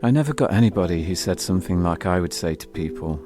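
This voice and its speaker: A man's voice: sits low, animated, fast talker. A British man telling a scripted first-person story as an audio drama narrator, wry and confessional, with music behind him.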